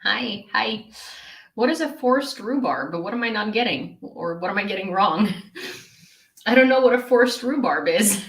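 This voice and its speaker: A young woman from Ukraine chats on a live stream at an unhurried pace, giggling and pausing on "um" as she answers viewers. Her voice sits at a middle pitch and rings a little in a roomy, echoey space. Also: expressive, a bit bright. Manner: chatty, giggly, lots of fillers and restarts. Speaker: streamer reading live chat. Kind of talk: casual live chat.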